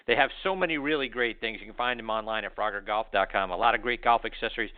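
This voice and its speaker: A man's voice: lowish voice, quick pace. A man hosting a golf interview show and reading sponsor ads in an upbeat, enthusiastic way. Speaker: podcast host. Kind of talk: interview and ad reads. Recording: tinny phone-like audio.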